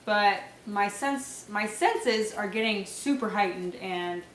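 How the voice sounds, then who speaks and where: A woman's voice: medium pitch, slow pace, reverberant, distant mic, a little hiss or hum, rough recording. A young woman with a stuffy, nasal voice from a cold, chatting casually in a vlog with lots of fillers and small laughs. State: congested, nasal, sore throat. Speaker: vlogger. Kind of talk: casual pregnancy update vlog.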